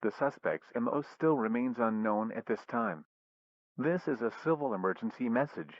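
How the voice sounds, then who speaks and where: A man's voice: medium pitch, normal speed, dry recording. Dull, dry male emergency announcer. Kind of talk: scripted civil emergency announcement. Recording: dull, lo-fi radio alert audio.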